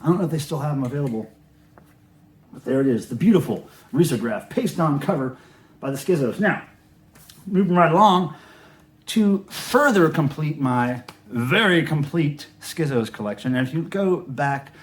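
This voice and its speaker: A man with a moderately high-pitched voice hosts a casual collector's vlog. He talks in an offhand, rambling, conversational way, like someone showing items to fellow hobbyists. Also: animated, moderate pace. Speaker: record collector host. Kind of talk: casual show-and-tell vlog about records.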